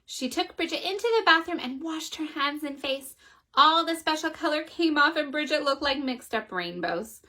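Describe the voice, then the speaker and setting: A woman reads a children's story aloud with animated, expressive storytelling. Her voice is high and bright. It rises and falls in lively swings. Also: normal speed.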